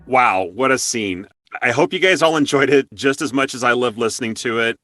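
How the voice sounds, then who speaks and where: A man's voice: medium pitch, brisk, over music. A man hosting a casual interview, chatting in an effusive, enthusiastic way. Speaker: interview host.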